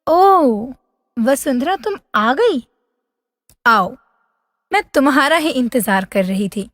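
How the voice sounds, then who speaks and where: A wistful young fairy-tale princess, speaks very high and slowly in long hall reverb. Her delivery is plaintive, drawn-out and dramatic, full of lovesick longing. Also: expressive, fairly bright tone, clean studio sound, exaggerated voice. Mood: welcoming. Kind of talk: animated fairy-tale voice acting.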